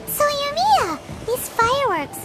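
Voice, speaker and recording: An actress playing a tiny childlike fairy companion in a game, squeaky, perky, curious and full of exclamations. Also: female voice, big pitch swings, unhurried, exaggerated voice. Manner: squeaky, talks in third person, exclamatory. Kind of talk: animated game character acting. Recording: loud music behind the voice. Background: loud tinkling game music.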